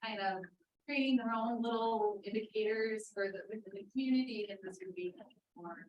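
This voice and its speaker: A woman speaking haltingly and without a script in a conference discussion. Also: fairly high pitch, a little mellow. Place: conference room, away from the mic. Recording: echoey room, distant, faint noise.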